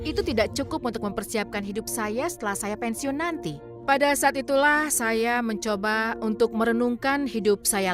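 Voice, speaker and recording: A woman calmly and earnestly reading a translated personal testimony as a voice-over, with measured pauses. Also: mid-range voice. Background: background music.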